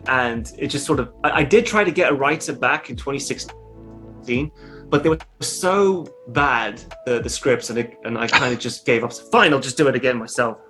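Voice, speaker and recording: A man's voice: mid-range voice, moderate pace, fairly bright tone, soft background music. A man in his thirties talking as a guest on a casual video call from a room at home, easygoing and self-deprecating, with hesitant restarts. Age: 30s. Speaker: YouTube creator guest.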